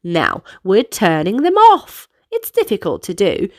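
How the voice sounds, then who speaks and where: A woman's voice: medium pitch, wildly swooping pitch, measured pace, no echo, studio quality. A woman narrating a children's storybook and guiding young listeners through dance movements in an instructive manner. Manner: instructive, clearly paced delivery for young listeners.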